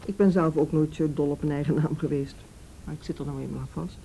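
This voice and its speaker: A woman playing a reassuring youth officer in a radio play, measured, direct and patient. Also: steady tone, vocal fry, dark tone, poor audio. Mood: calm, serious. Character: calm, firm, reassuring policewoman of the youth department. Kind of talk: Dutch radio play acting. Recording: faint hiss and low rumble.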